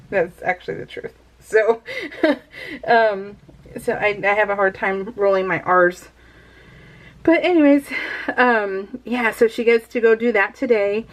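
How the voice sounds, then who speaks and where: A woman's voice: mid-range voice, poor audio. Chatty woman who laughs a lot. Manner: chatty, rambling, laughs often. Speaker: scrapbooking vlogger. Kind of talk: casual voiced-over craft chat.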